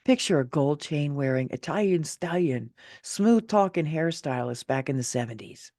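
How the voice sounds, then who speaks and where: A woman's voice: sits low, expressive, moderate pace, warm tone, no room sound. Woman author, middle-aged, narrating her essay with emphatic motivational punch; close, dry recording. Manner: emphatic, theatrical, motivational delivery. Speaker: author reading own essay. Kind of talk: expressive scripted narration of a personal essay.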